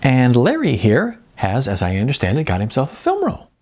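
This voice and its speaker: A man's voice: mid-range voice, wildly swooping pitch, a little fast, a little mellow, voice pushed up. A man voicing a cheerful, encouraging male acting coach in a storybook reading, with an emphatic manner. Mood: announcing.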